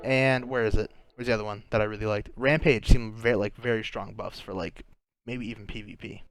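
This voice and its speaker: A man's voice: fairly low pitch, fairly even intonation, brisk, faint music behind. A young man with a sore throat, chatting casually and thinking aloud while playing a game, often restarting his sentences. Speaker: gaming channel host. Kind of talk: casual gameplay commentary.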